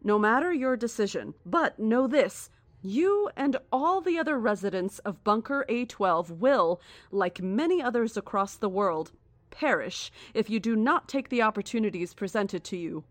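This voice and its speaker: Give me an actress as a condescending female cult leader in a horror audio drama, grandiose and deliberate, with a mid-pitched voice in a dry, close space. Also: lively intonation, no echo, natural voice. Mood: calm menace. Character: serene, menacing female cult leader preaching cosmic doom. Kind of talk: horror audio drama acting, live radio address.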